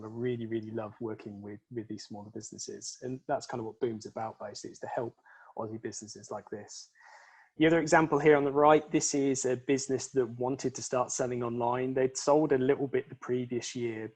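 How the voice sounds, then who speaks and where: A man's voice: mid-range voice, a little mellow. A man presenting slides on a video call webinar, earnest and enthusiastic, often restarting his words. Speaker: panel speaker, e-commerce trainer.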